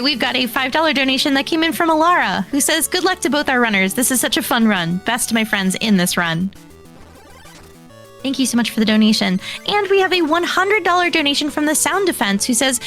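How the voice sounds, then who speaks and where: A woman's voice: on the high side, fairly bright tone. A woman hosting a gaming event, reading out donations and messages. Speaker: event host. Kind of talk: reading out charity stream donations.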